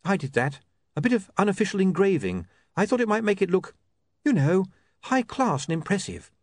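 A man's voice: high voice, sing-song, brisk, darkish tone, no echo, voice pushed up. A man voicing a shy, nervous young woman in a storybook reading, hesitant and sprinkled with little ums.

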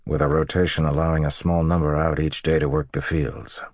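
A male narrator voices a veteran army commander, stoic and resolute. The voice is very deep and gravelly, with measured, terse lines in an audiobook style. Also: flat delivery, no echo, studio quality. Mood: matter-of-fact. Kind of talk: audiobook character dialogue.

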